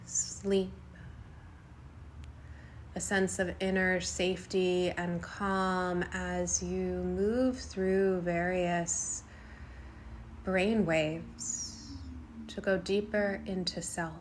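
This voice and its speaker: A woman guiding a yoga nidra meditation in a soothing, unhurried way, speaking in short phrases with long pauses. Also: lowish voice, steady tone, a little hiss or hum. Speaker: meditation guide.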